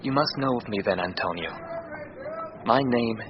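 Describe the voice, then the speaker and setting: An actor playing a courteous, grieving young gentleman in a classical Shakespearean radio play, with formal, earnest delivery. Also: medium pitch, normal speed, background noise, lightly acted. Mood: melancholy. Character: courteous, melancholy young gentleman grieving his drowned sister. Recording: muffled radio drama recording.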